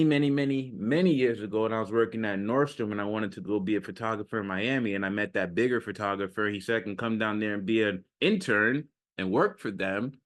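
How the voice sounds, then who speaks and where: A man speaking off the cuff like a self-improvement coach, casual and slangy, repeating phrases for emphasis and often checking in with the listener. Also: on the low side. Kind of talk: casual off-the-cuff motivational talk.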